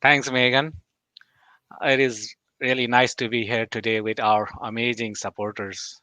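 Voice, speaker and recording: A man in his forties from Nepal, a guest expert who speaks in a measured, hesitant way with frequent pauses and fillers. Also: mid-range voice, flat delivery, bright, forceful tone, no echo, low-quality recording. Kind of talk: interview answers.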